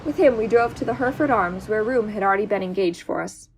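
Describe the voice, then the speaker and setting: A woman playing an earnest male companion who narrates an amateur audio drama in a measured, literary way. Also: mid-range voice, a little hiss or hum, close to an everyday voice, poor audio. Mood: storytelling.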